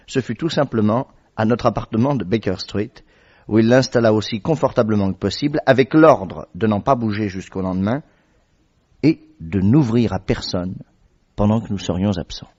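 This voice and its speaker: A steady doctor narrates the story, voiced by a man in measured, scripted sentences. His voice is a little low and the old recording sounds dull and lo-fi. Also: breathy edge. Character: measured male doctor narrator, middle-aged. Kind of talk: scripted narration in radio drama.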